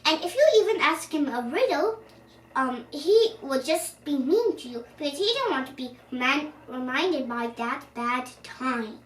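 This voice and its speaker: A young girl reading a children's storybook aloud in an animated, expressive way, now and then stumbling over words. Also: high-pitched, a little slow. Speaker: storyteller, channel host. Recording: roomy, distant microphone, faint background noise.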